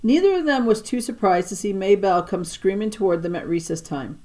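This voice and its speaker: A woman, a teacher reading aloud, giving expressive storybook narration for children. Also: medium pitch, fairly quick.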